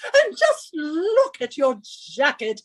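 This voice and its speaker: A woman's voice: high voice, wildly swooping pitch, takes it slowly, full character voice. A woman playing an imperious, henpecking wife in a radio suspense drama, nagging and scolding with theatrical emphasis. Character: bossy henpecking British wife, shrill and imperious.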